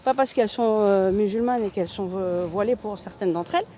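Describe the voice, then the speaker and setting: A woman's voice: mid-range voice, fairly quick, dark tone, slight background noise. A woman from France talking on an outdoor walking vlog, emphatic and repetitive, often restarting her words. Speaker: vlogger. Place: outdoors on a walk in a town.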